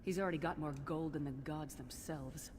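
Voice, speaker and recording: A man's voice: on the high side, moderate pace, in a large hall, background noise, natural voice. A man voicing a blunt, wary game ally. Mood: reassuring. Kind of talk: video game character dialogue.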